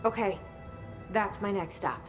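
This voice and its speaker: A woman's voice: medium pitch, measured pace, over music, lightly acted. An actress playing a determined young woman in charge of a secret agency, speaking tersely and directly in game cutscenes. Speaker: game protagonist. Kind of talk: video game cutscene dialogue.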